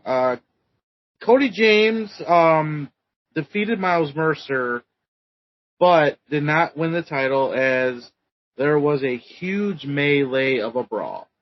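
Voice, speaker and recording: Podcast co-host, slow rambling recap, slightly high voice, lo-fi sound. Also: male voice, slight background noise. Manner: rambling recap with many ums. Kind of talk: casual chat.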